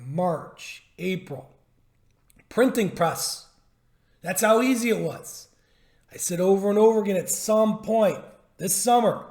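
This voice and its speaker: A man with a somewhat high, hoarse voice walks through stock charts in a market update. He drives his points home with emphasis, repeats them and throws out rhetorical questions. The voice rings in an echoey room. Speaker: stock trading educator.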